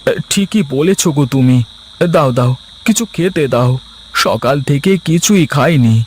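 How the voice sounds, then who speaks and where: A man's voice: fairly high pitch, lively intonation, a little slow, slight background noise. A man playing a poor, humble village father in a cartoon fairy tale, speaking earnestly with a plaintive edge. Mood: weary worry.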